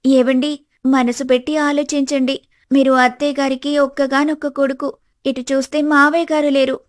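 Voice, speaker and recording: A woman voicing a clever, outspoken young daughter-in-law in an animated family story, earnest and firm. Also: high voice, normal speed, natural voice. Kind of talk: animated family drama dialogue.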